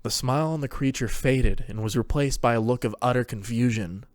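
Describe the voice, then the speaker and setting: A man narrates a dark fantasy story from a script. His voice sits at a medium pitch, recorded very dry and close, with a dramatic storytelling delivery. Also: a little fast, no room sound.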